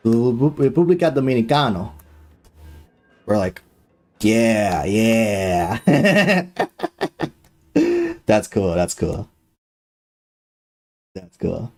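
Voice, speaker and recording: A young man chatting casually on a live gaming stream, excitable and loose, full of fillers and slang, swearing freely. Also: mid-range voice, extremely slow, pauses often, soft background music.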